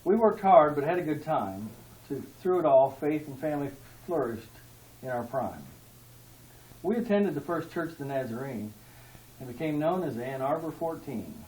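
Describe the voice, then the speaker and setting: A man's voice: a little high, expressive, moderate pace, darkish tone. A man earnestly reading a rhyming tribute poem aloud at a party, as an amateur. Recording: echoey room, distant mic.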